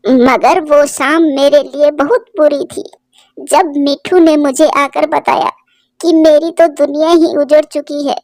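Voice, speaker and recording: A woman's voice: high-pitched, fairly even intonation, slow pace, darkish tone, no room sound, studio quality. A woman voicing a sorrowful, tender elderly mother bird in a cartoon, with a plaintive, emotional delivery. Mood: grieving.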